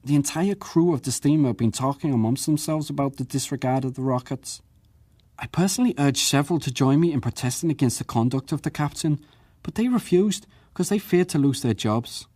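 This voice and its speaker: A man's voice: medium pitch, warm tone, dry recording, clean studio sound, natural voice. Earnest, plain-spoken ship's crewman played by a man. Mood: earnest, grave. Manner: plain-spoken, deferential, measured. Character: earnest working ship's crewman testifying at an inquiry. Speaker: witness. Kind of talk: dramatized reading of historical testimony.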